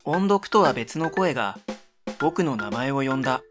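Man as middle-school boy, medium pitch, dry wit, exasperated, matter-of-fact, radio comedy narrator, background music. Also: animated, a little slow, lightly acted. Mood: dry narration. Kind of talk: first-person narration and comedic radio drama dialogue.

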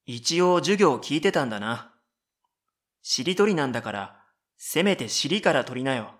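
A man's voice: medium pitch, sing-song, moderate pace, fairly bright tone. A man voicing a dry-witted, exasperated middle-school boy who narrates and makes matter-of-fact retorts in a comedic radio drama.

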